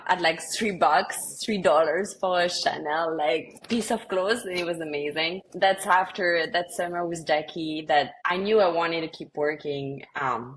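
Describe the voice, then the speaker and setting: A woman co-founder chatting casually on a podcast, friendly and talkative, sometimes trailing off quietly. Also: fairly low pitch, a little hiss or hum, poor audio. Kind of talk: casual chat.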